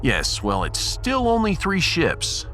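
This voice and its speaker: A man's voice: a little high, wildly swooping pitch, slow, fairly bright tone, faint music behind, heavily acted. A man playing a sarcastic, impatient male starship captain in a sci-fi audiodrama. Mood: impatient, mocking. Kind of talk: sci-fi audiodrama character acting.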